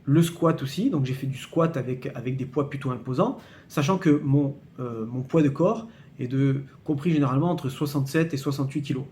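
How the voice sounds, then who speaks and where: A man's voice: mid-range voice, a little fast, darkish tone. A man in his late forties talking casually to camera on his fitness channel, often hesitating, repeating words and checking in with the listener. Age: 40s. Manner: emphatic, cautionary tone when warning viewers. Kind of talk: casual explanatory vlog to camera.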